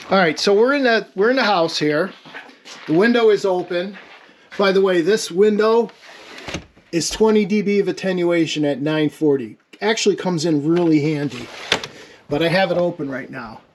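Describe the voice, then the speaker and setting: A man's voice: a little high, a little mellow, slight background noise. A man giving an informal hands-on technical explanation as a hobbyist, thinking aloud in a casual, self-deprecating way. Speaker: amateur radio experimenter demonstrating a homemade setup.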